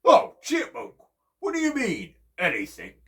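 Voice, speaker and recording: A man voicing a boastful, proud bear who speaks in slow, loud, booming brags. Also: wildly swooping pitch, creaky, full character voice. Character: boastful big male bear, proud and blustering.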